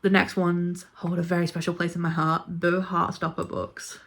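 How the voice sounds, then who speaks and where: A woman's voice: fairly low pitch, level tone, normal speed. A woman chatting casually and informally about books she ranks, with a gushing, emphatic way of talking. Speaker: book reviewer vlogger. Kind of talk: casual chat ranking books.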